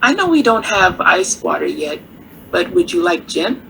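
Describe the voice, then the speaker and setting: A poised lounge singer lady, creaky voice, wry n theatrical, radio play, bit of noise behind. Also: on the low side, sing-song, a bit bright, full character voice, low-quality recording. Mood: playful, gracious. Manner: theatrical, unhurried, uses endearments like darling and honey. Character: poised, worldly female nightclub singer, wryly warm. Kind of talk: radio drama acting.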